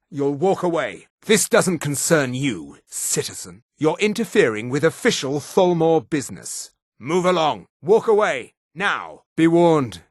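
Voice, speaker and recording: A haughty high elf man for a fantasy video game. His fairly high voice moves through wide, animated swings of pitch with precise, theatrical diction. The sound is dry and close, free of room echo. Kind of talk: scripted fantasy game voice acting.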